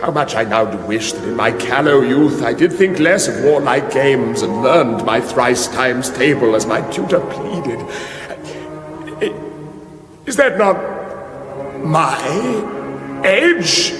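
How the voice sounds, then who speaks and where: An actor playing a vain, pompous warrior prince of about thirty, declaiming archaic speech in a fantasy radio comedy. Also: male voice, medium pitch, lively intonation, takes it slowly. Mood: puzzled, suspicious. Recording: echoey hall reverb, music behind.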